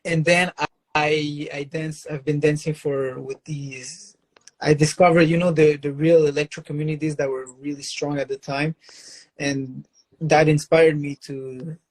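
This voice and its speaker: A man with a somewhat high, flat voice recounts his story in a casual interview. He halts often, repeats words and keeps adding "you know". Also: a little slow, poor audio. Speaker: electro dancer.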